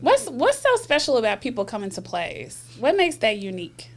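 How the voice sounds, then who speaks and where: A woman's voice: a little high, huge pitch swings, moderate pace. An American woman hosting a talk show, asking guests questions in a casual, conversational style. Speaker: talk show host, interviewer.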